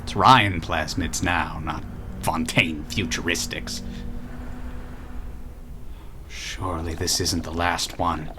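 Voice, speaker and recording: A cold, commanding tycoon in a radio drama. His low voice moves slowly and imperiously, full of suspicion, over faint background noise. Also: steady tone. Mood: cold, suspicious. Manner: measured, imperious.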